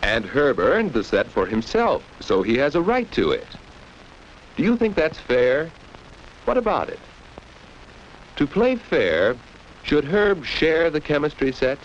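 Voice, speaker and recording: A man's voice: on the high side, very animated, unhurried, pauses often. A man narrating a 1940s educational film for children in a didactic, storytelling way, posing questions to young listeners. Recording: dull, noisy 1940s film soundtrack.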